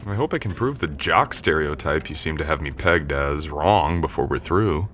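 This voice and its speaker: A young man playing a confident, teasing and protective college jock in an intimate boyfriend audio roleplay, speaking in a gentle, conversational way. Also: extremely low pitch, lively intonation, normal speed, a little hiss or hum. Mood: teasing, admiring.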